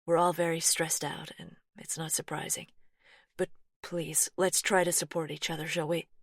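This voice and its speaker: Narrator voicing a soft-spoken, anxious young American woman; low voice, dry read. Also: steady tone, normal speed, dry recording. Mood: defensive, anxious. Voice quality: soft, firm.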